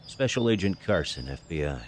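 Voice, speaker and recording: A man playing a brisk, businesslike federal agent in an audio drama, curt and official. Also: deep voice, sing-song, a little hiss or hum.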